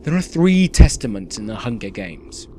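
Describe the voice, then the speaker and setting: A man playing a cheerfully sinister radio host of a dystopian town, with theatrical, measured delivery and dramatic pauses in a scripted radio play. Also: fairly low pitch, sing-song, mellow, slight background noise. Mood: wry, grandiose. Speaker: radio presenter. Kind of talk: scripted radio play in the manner of an eerie community radio show.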